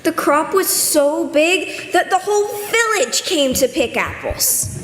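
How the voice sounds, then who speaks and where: A woman's voice: high-pitched, expressive, measured pace, a bit bright, soft background music. A woman playing a sincere, practical village child in an amateur readers theater. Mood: sorry. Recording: echoey hall, faint noise.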